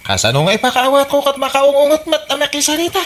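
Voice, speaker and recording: A man acting as an aggrieved married son with an Ilocano accent in a radio comedy drama, complaining in an animated way. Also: extremely high pitch, fast talker, heavily acted. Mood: exasperated.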